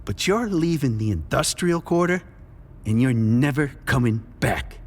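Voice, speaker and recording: A man's voice: mid-range voice, lively intonation, measured pace, background noise, close to an everyday voice. An actor playing a bitter, menacing drug dealer who narrates his crime drama with cynical, profane threat. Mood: angry, threatening. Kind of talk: noir first-person scripted narration and dialogue.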